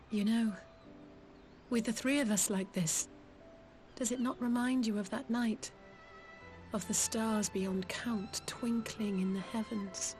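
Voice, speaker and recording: A woman's voice: mid-range voice, a little mellow, natural voice. A young woman playing an earnest, heartfelt young heroine who grieves for her fallen friends in a video game cutscene. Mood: tender, subdued.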